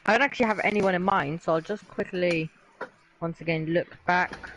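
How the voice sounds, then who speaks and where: A man's voice: sits high, normal speed, fairly bright tone, slight background noise, low-quality recording. A man summing up a sim race as co-commentator, in a thoughtful, slightly hesitant way. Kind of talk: race analysis.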